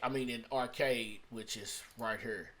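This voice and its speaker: A man's voice: medium pitch, fairly even intonation, a little slow, a bit bright. A man giving a casual tutorial as a music producer, thinking aloud in a rambling way and often repeating his phrases. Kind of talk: casual screen-recorded tutorial talk. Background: hip-hop beat loops playing.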